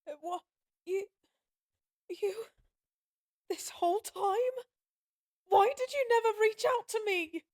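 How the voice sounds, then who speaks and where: A woman playing a lonely, grieving goddess in an audio drama, speaking haltingly and with raw, pleading emotion. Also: very high voice. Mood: hurt, accusing. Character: sorrowful, lonely forsaken goddess, grieving and guilt-ridden. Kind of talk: scripted audio roleplay drama acting.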